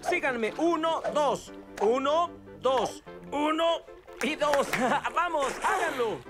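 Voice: sits high, takes it slowly, over music. An actor voicing an upbeat grown-up rabbit swimming instructor with a Latin American accent, encouraging and instructing in a cartoon dub. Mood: brisk, cheerful.

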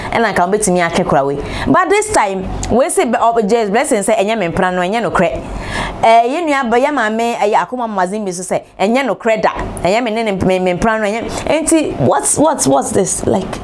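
A woman in her thirties with a Ghanaian accent, presenting entertainment gossip from a studio chair in an animated, exclamatory way, firing rhetorical questions. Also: a little high, a little hiss or hum. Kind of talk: gossip commentary, mixing Twi and English. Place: studio, seated on a large throne-like chair. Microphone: lapel.

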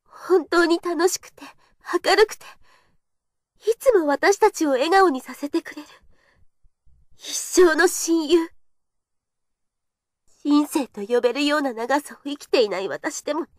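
A woman's voice: high voice, no room sound, studio quality. A young woman playing a shy, earnest kendo girl in an audio drama monologue, with a hesitant, confessional delivery. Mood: shy, tender, then emotional, longing.

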